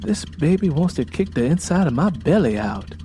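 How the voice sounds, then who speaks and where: Man voicing a plaintive young farm wife, mellow. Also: on the high side, big pitch swings, normal speed, slight background noise, pitched above the performer's own voice. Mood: weary, complaining. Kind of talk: dramatized audiobook character dialogue.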